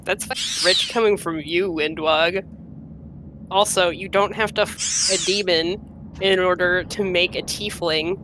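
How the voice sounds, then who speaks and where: A woman's voice: on the low side, fairly even intonation, unhurried, background noise. A woman hosting a game playthrough with casual, joking, sweary commentary. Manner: irreverent, sweary. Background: game music.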